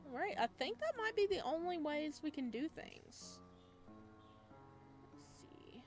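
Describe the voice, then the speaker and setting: A woman's voice: high-pitched, sing-song, unhurried, darkish tone, faint music behind. A woman hosting a gaming video, giving casual, chatty, giggly let's play commentary. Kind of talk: let's play commentary and reading game text aloud.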